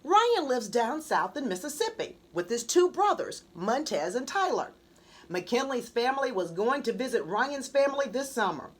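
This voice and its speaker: A woman reading her own children's book aloud as an animated storybook narrator. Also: on the high side, moderate pace.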